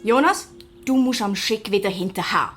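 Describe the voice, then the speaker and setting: A woman playing a bright, impulsive girl detective with youthful slang in a German children's audio drama. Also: medium pitch, moderate pace, soft background music, lightly acted. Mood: worried.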